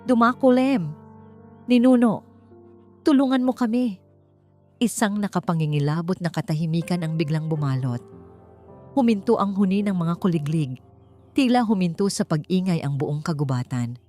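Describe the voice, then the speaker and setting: Female Filipino audiobook narrator, lower register, measured and suspenseful delivery, soft music underneath. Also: animated. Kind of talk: dramatic scripted story narration.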